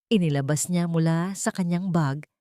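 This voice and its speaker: A woman's voice: on the low side, normal speed, mellow, no echo, studio quality. A woman with a Filipino accent reading a scripted dramatic story in the first person as an audiobook narrator.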